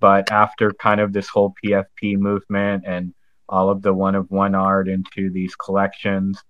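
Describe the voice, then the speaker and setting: Dull lo-fi, low monotone man. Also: no room sound. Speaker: panel speaker. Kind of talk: reflective casual commentary.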